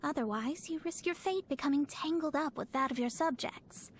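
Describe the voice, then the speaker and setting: A woman's voice: high-pitched, moderate pace, slight background noise, close to an everyday voice. An actress voicing a proud, haughty young woman astrologer in a video game, speaking in a formal, pompous, theatrical way. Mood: earnest, proud. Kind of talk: scripted video game character voice lines.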